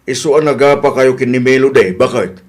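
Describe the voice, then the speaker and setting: A man's voice: medium pitch, fairly quick, lightly acted. A man with an Ilocano accent playing a reasonable husband who questions and reproves, in a comedy radio drama. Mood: remonstrating. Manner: remonstrating, questioning.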